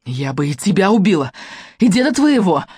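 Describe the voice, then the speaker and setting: A narrator doing a coarse, spiteful villainess. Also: female voice, a little high, expressive, a little slow, no room sound, clean studio sound, pitched above the performer's own voice. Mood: raging. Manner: mocking, shouting in rage. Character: coarse spiteful woman, gloating and vicious.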